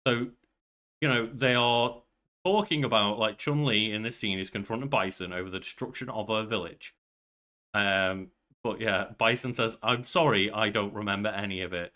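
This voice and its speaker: Bright-voiced, lowish man chatting. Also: moderate pace. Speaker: podcast co-host. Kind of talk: casual chat. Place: indoors at a desk, on a video call.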